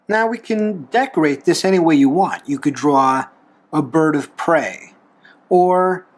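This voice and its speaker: A man with a slightly high voice and very animated intonation walks the listener through a drawing. He explains each step in a folksy, unhurried way, pausing as he goes. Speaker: host of a drawing tutorial show, reverend. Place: talking from behind a camera while drawing.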